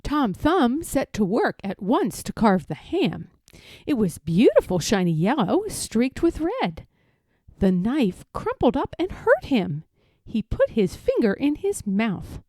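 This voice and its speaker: Woman storyteller, high mellow voice, very animated intonation, measured emphatic read-aloud for children. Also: no echo. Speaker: storybook reader.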